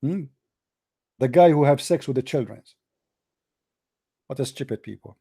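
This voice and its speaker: Man, mellow dark tone, tired dry voice, combative, repeats himself. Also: medium pitch, lively intonation, normal speed, husky, no room sound. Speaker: debate show host. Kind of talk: heated call-in debate.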